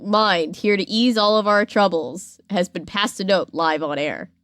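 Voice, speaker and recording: A woman's voice: medium pitch, unhurried, fairly bright tone, a little hiss or hum. A woman hosting a podcast, telling a story casually with wry, playful asides. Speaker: podcast host. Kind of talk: casual conversational storytelling with commentary.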